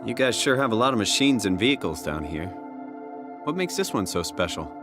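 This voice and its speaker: An ordinary man as the hero of a video game. He is earnest and inquisitive, with a casual, wry delivery. His voice sits fairly low, with soft music in the background. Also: big pitch swings, brisk. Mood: curious. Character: everyman male game protagonist, questioning, earnest, wry.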